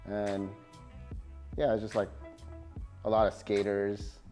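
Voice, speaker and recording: A man from California, a tattoo artist, talking casually in an interview with a relaxed, halting manner full of fillers. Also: fairly low pitch, soft background music.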